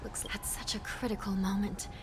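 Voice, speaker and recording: An actress voicing a grave, weary female officer of an underworld order in a video game, measured, formal and dry. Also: medium pitch, level tone, background noise, close to an everyday voice. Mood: grave, resolute. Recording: music behind the voice.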